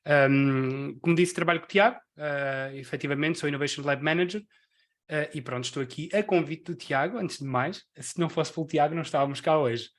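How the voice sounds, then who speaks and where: Man, from Venezuela, European Portuguese accent, friendly speaker, fairly high voice. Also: fairly quick. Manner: friendly, joking, with fillers. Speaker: innovation lab manager and speaker. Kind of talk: online talk presentation. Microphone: video call.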